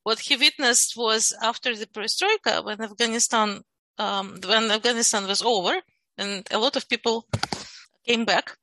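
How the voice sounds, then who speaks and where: A woman's voice: on the high side, sharp tone, no room sound. A woman from Ukraine whose first language is Russian, explaining her views in a casual group discussion, with frequent restarts and fillers. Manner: halting, many restarts and fillers. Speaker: guest.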